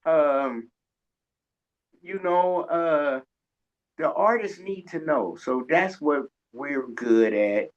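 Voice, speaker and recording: A man's voice: fairly high pitch, expressive, extremely slow, pauses often, muffled recording. A man hosting a radio show, chatting casually in a folksy, colloquial storytelling way. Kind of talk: casual interview chat.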